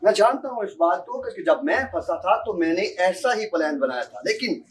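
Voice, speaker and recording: A man's voice: sits high, expressive, a little fast. A young man student acting a bitter, insistent blackmailer who threatens, in an amateur stage drama. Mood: threatening, insistent. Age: young adult. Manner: insistent, threatening, repeats himself. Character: menacing, bitter blackmailer demanding his job back. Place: university seminar hall stage. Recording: distant microphone on stage.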